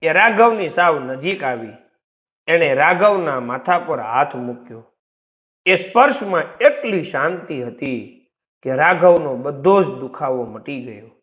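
A man narrating a story in a theatrical, deliberate, scripted style. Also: fairly high pitch, animated, a bit bright.

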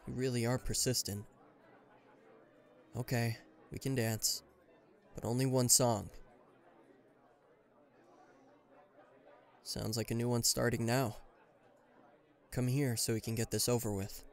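A man's voice: medium pitch, little pitch movement, measured pace, pauses often, a faint crowd, natural voice. A man playing an aloof, reluctantly caring bodyguard in a roleplay audio drama, talking to the listener in a dry, guarded, mildly exasperated way. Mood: grudgingly yielding. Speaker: voice actor.

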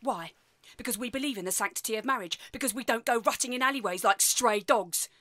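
An actress playing a bitter, snobbish middle-aged wife in an audio play, sarcastic and scornful. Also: female voice, a little high, fast, sharp tone. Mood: indignant.

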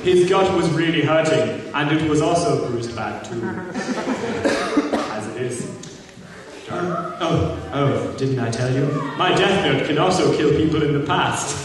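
A man reads comically on stage in a high, theatrical voice, breaking in with sweary asides. The sound is distant and heavily echoing, with a noisy audience around him. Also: a little slow, crowd noise. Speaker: convention panel performer. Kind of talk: comic live fan-fiction reading with banter. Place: large hall, on stage before an audience. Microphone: distant audience camera. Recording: echoey hall recorded from far off.

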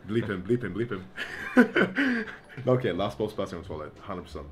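A man's voice: lowish voice, wildly swooping pitch, a little slow. A man in his twenties in casual banter as a quiz contestant, joking and hesitant. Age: 20s.

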